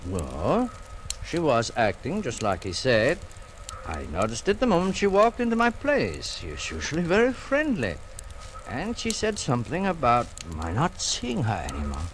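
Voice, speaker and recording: Concerned older music teacher, swooping intonation. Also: male voice, mid-range voice, wildly swooping pitch, poor audio. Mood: grave, measured. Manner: deliberate, solicitous, questioning. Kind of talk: 1940s radio suspense acting. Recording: vintage radio recording with background noise.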